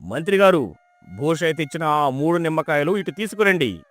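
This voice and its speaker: A man's voice: on the high side, lively intonation, normal speed, a bit bright. A man playing a stern, authoritative king in a dramatised folk tale, with a commanding, declamatory manner. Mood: stern, questioning.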